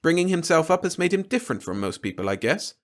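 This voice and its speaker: A man's voice: fairly high pitch, big pitch swings, fast, pitched above the performer's own voice. A man voicing a spoiled, theatrical young woman of nearly twenty in a storybook reading. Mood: indignant, pleading. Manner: breezy, theatrical.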